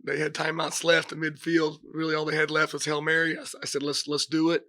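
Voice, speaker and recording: A middle-aged man, hoarse from a cold, giving press conference remarks as a head football coach, halting with many fillers and restarts. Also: a little high, completely flat delivery, bright, forceful tone, no echo, clean studio sound.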